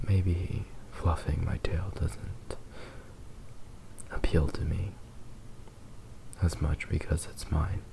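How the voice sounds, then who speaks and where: A man playing a possessive, doting kitsune fox boy in a slow, tender, intimate whispered ASMR roleplay. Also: deep voice, gravelly, heavily acted, rough recording. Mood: soft, affectionate.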